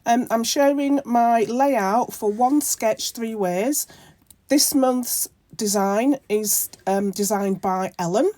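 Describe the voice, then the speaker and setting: Croaky woman with a cold. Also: on the high side, takes it slowly, dark tone, no echo. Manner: rambling, many ums and self-corrections. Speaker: craft channel host. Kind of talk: casual crafting tutorial narration.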